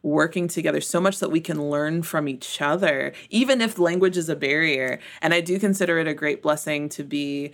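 A woman's voice: deep voice, normal speed, hardly any pauses. A woman from the United States with an American accent, answering conversationally as an interview guest and often laughing as she talks. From Memphis, United States. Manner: laughs often while talking. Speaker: interview guest in church ministry.

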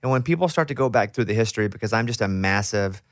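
A man's voice: on the low side, lively intonation, fast, dry recording, clean studio sound. A man, hosting a casual interview in a chatty, informal way. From Arkansas, USA. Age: 30s to 40s. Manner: chatty, informal, talks at length before questions. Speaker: interview host.

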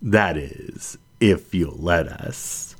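A man reads the part of a grown lioness witch from a storybook, calm and kind. The voice is low and very unhurried, with gentle, solemn earnestness in the phrasing. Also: slightly rough, exaggerated voice. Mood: apologetic, gentle. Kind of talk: storybook character reading.

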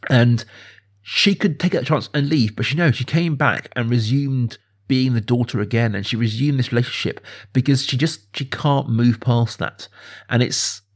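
A man talks casually about film as a podcast host. His voice sits at a middling pitch and has a husky, slightly rough edge. He leans on phrases and repeats them for emphasis. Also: moderate pace.

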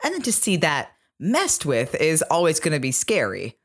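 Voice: mid-range voice, huge pitch swings, normal speed. A podcast co-host talking casually and analytically, explaining things point by point.

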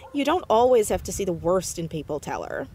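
Sharp, animated teenage girl detective. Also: on the low side, normal speed, slightly rough, exaggerated voice. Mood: defensive, teasing. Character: sharp, nosy fourteen-year-old girl amateur detective in a small town. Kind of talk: naturalistic audio drama acting.

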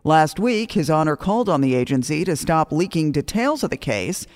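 A male American radio news anchor delivers scripted local headlines. His voice sits a little high for a man, and he reads in a newsroom style. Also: big pitch swings, moderate pace.

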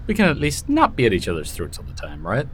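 A man's voice: mid-range voice, wildly swooping pitch, fast, a bit bright, slight background noise. A man playing a weary, sardonic prisoner in an audio drama, with a dry, sarcastic manner. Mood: wary, curious. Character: weary, sardonic male prisoner in chains from the future. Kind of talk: naturalistic audio drama acting.

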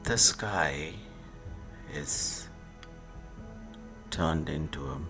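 A man guiding a sleep meditation, speaking soothingly in short hushed phrases with long pauses, often fading into a breathy whisper. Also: extremely low pitch. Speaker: meditation guide. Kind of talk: guided sleep meditation narration. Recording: music behind the voice. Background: meditation music.

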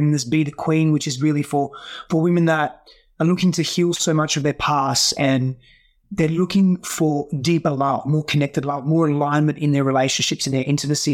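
Man, native Greek speaker, interview guest, earnest, reflective, lots of restarts, slightly high voice. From Greece and Australia. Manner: earnest, many restarts and "you know". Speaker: guest coach.

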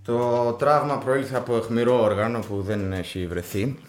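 An actor playing a naive young police constable in a radio drama, tentative and deferential. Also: male voice, medium pitch, fairly even intonation, natural voice. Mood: reporting. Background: prominent guitar music.

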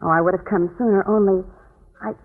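A woman's voice: medium pitch, fairly even intonation, brisk, close to an everyday voice. Woman acting an insistent lady, tinny old radio. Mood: earnest. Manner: earnest, ladylike, emphatic. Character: poised, insistent woman, a murder suspect. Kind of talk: 1940s radio melodrama acting. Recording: muffled, tinny 1940s radio broadcast.

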